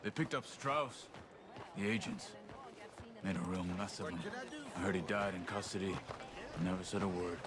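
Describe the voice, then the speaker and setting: An actor playing a cautious, watchful former outlaw in a video game, measured and serious. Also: male voice, low voice, crowd noise, lightly acted. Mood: grave. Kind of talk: dramatic video game dialogue.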